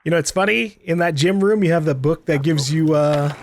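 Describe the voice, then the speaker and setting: Male let's play host, fairly high voice, casual and playful thinking-aloud delivery, faint background game sound. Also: fairly quick, a little hiss or hum. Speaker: gaming video host.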